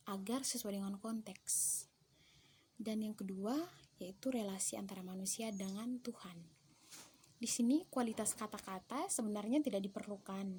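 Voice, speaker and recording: Young woman theology student, mellow tone, measured and reflective, light background noise. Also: mid-range voice. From eastern Indonesia. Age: young adult. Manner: careful, measured, with hesitations and restarts. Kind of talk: reflective class presentation.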